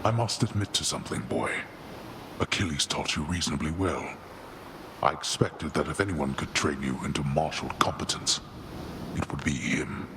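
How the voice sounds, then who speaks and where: Very deep, imperious underworld god. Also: male voice, normal speed, noisy background. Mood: grudging, stern. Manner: formal, condescending, archaic phrasing. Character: stern, scornful god of the dead, imperious father. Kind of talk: theatrical video game voice acting. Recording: loud music behind the voice.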